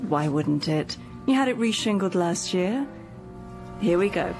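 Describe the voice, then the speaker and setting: Caring home helper, swooping intonation, gravelly edge, encouraging, gently bossy, radio play. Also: female voice, lowish voice, animated, a little slow, over music. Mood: reassuring. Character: kindly, brisk, reassuring female home helper. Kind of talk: radio drama acting.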